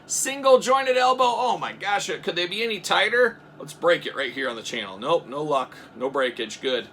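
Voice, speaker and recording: A young man with a bright, sharp, somewhat high voice chatters through a toy unboxing. He jokes and drifts into tangents, jumping from loud bursts to low mutters. Faint room noise sits behind him. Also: expressive, normal speed. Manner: jokey, rambling asides, swings between loud bursts and mutters. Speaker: toy reviewer.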